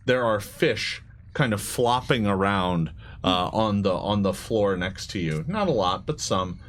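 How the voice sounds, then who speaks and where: A man narrating a tabletop game as game master in a halting, conversational way. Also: on the low side, lively intonation. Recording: live stream with clear background noise.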